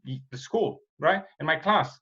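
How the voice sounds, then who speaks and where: Romanian-accented man, earnest and halting. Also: fairly high pitch, lively intonation, bright, forceful tone, lo-fi sound. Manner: earnest, halting, many restarts. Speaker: podcast host, comedian. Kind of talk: casual podcast chat.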